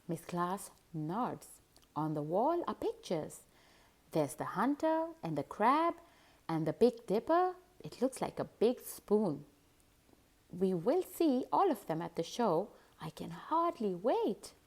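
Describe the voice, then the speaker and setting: A woman narrating a children's picture book as host, in an expressive, deliberate storytelling manner. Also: mid-range voice. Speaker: host and read-aloud narrator.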